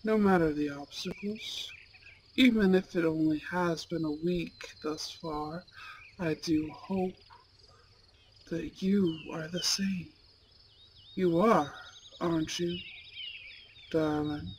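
A voice actor plays a suave, possessive young bad-boy boyfriend in an ASMR roleplay. He speaks very slowly and formally, with deliberate care. Crickets and nature ambience sit clearly behind him. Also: medium pitch, steady tone, a little hiss or hum, lightly acted. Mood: tender, earnest. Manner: slow, formal, deliberate phrasing, velvety menace. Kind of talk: ASMR romance roleplay, intimate scripted monologue. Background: crickets and outdoor nature sounds.

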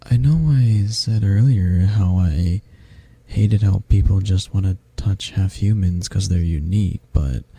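A man playing a tall, affectionate, flirty half-dragon in an intimate ASMR roleplay, speaking gently and haltingly with a low, husky, gravelly voice. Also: dry recording, full character voice. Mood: shy, hesitant. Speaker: roleplay voice actor.

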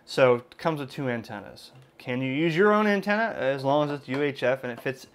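A man's voice: mid-range voice, expressive, a little fast. A man presenting a hands-on product demonstration in an informal, conversational, hedging way, recorded indoors at a desk. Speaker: amateur radio video host and product reviewer. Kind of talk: casual unboxing and product demonstration.

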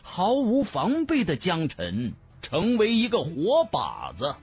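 A man's voice: high voice, wildly swooping pitch, takes it slowly, slightly rough, muffled recording, faint music behind. A man narrating a fantasy audiobook in a dramatic scripted style.

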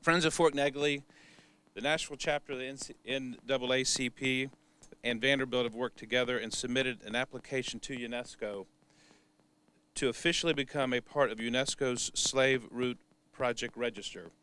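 Older American man, clear resonant voice. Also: mid-range voice, fairly even intonation, moderate pace, fairly bright tone. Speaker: parks board chair. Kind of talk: prepared public remarks, read out. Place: open parking lot outdoors. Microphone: podium microphones.

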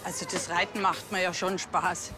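An actress playing a frank, chatty bakery waitress with a Bavarian accent in a TV crime comedy. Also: female voice, lowish voice, flat delivery, moderate pace, over loud music, natural voice. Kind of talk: television crime comedy acting.

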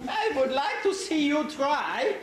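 A woman playing a brisk, sceptical, indignant professor in an amateur pantomime. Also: sits high, sing-song, heavily acted. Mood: haughty, sceptical. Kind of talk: amateur pantomime stage acting. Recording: very echoey hall, noisy camcorder.

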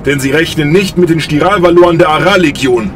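A man acting a gruff, foul-mouthed legion commander giving a martial briefing in a science fiction audio drama. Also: a little high, a little fast, a bit bright, soft background music, natural voice. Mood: fierce, scornful.

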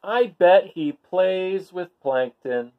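A bored little sea creature from a picture book, acted out by a man, complaining in a deadpan drawl. The words are stretched out slowly and the pitch swings up and down with envy. The sound is slightly dull. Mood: envious, sarcastic. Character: bored, grumbling, envious barnacle from a picture book. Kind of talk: storybook read-aloud.

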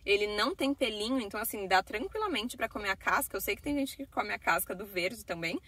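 A young woman with a Brazilian accent chats to her phone camera inside a parked car. Her voice is bright and forward, and the sound is dry and close, with no room echo. She talks casually and loosely, padding her sentences with fillers. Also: medium pitch, lively intonation, quick pace. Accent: Brazilian Portuguese. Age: young adult. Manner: chatty, talking with her hands, many fillers like "né" and "assim". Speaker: vlogger. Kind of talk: casual vlog chat to camera. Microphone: phone camera at arm's length.

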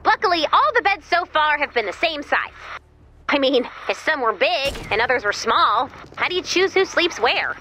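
A woman voicing a cheerful, chatty, excitable robot helper in a video game, with a perky, quirky delivery. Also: sits high, moderate pace, slight background noise, full character voice. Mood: chatty, encouraging. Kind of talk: video game mission dialogue.

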